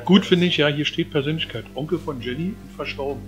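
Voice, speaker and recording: A man's voice: a little high, quick pace, over music, poor audio. A man in his fifties, chatting in a casual, jokey way while auditioning as a voice actor.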